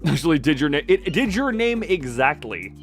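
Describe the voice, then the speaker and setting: A man giving casual live commentary on a game as he streams, thinking out loud and often breaking off mid-sentence. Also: fairly high pitch, very animated, a little fast, soft background music.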